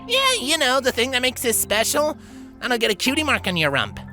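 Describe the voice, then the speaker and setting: A man's voice: very high voice, sing-song, fairly quick, sharp tone, soft background music, pitched above the performer's own voice, heavily acted. A man voicing a brash, eager young tomboy pegasus filly in a fan fiction reading, with a bossy, confident manner. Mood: impatient.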